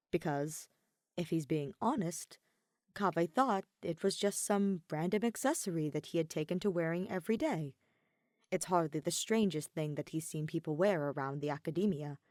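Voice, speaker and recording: A woman narrating a written story in an expressive, scripted reading style. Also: lowish voice, dry recording, studio quality. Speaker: podfic narrator reading fan fiction.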